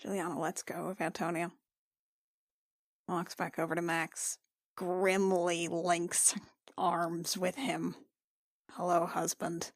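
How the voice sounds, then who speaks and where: Woman roleplaying in a tabletop game, awkward and halting, unhurried, dry close sound. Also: fairly low pitch, level tone, dry recording. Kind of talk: casual improvised roleplay.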